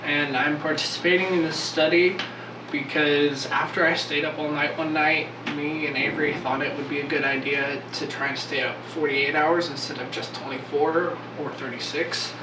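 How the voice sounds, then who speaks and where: A man's voice: on the high side, little pitch movement, normal speed. A twenty-year-old man answering interview questions casually, wry and joking, recorded from a distance in an echoey room with noise. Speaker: study participant.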